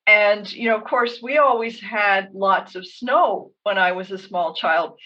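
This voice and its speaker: A woman with a Canadian accent telling stories conversationally, with measured, emphatic phrasing. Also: medium pitch. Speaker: podcast co-host. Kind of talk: conversational storytelling. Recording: dull, slightly distant microphone.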